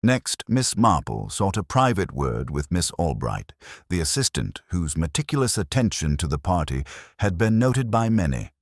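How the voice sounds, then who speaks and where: Really deep guy reading a mystery audiobook, formal and measured, pitch moves around a lot, dry sound. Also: very animated, no echo. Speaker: audiobook narrator. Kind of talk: scripted mystery story narration.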